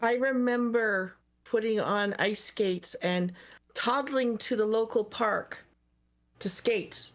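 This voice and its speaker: Slow-talking higher-voiced woman in her fifties. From Canada (Ontario). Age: 50s. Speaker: podcast co-host. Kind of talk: casual chat.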